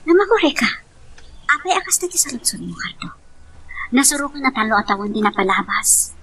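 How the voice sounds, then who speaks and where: A woman's voice: fairly high pitch, very animated, a little fast, a bit bright, husky, full character voice. A woman playing a proud, sorrowful abandoned mother, plaintive and reproachful, in an amateur radio-style love drama.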